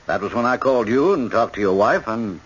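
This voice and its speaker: An American actor playing an obliging prison warden with firm authority, measured and courteous in a radio drama. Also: male voice, mid-range voice, lively intonation, slightly rough. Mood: matter-of-fact. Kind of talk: 1970s radio drama acting. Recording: dull, band-limited old radio broadcast.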